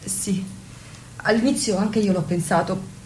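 An actress playing a devoted, trusting young noble wife in an Italian radio drama, speaking with earnest, pleading insistence. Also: female voice, medium pitch, a little slow, a little mellow, a little hiss or hum, natural voice, low-quality recording. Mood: uneasy, trusting.

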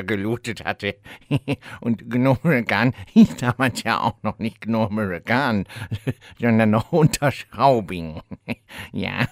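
An actor playing a rambling, cackling old undead warlock who boasts about the hard old days, in a comedy audio play. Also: male voice, mid-range voice, no room sound, studio quality, close to an everyday voice.

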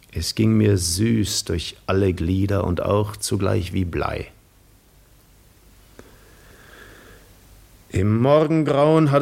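Weary wandering seafarer, low, husky, dreamy, measured literary narration, 1950s radio drama. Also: male voice. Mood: wondering, drowsy.

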